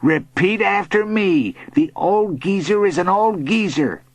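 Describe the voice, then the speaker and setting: A man's voice: high-pitched, expressive, unhurried, dry recording, voice pushed up, exaggerated voice. A man voicing a pushy, easily frightened boy bully in a narrated children's story. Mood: taunting. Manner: pushy, stammers when scared.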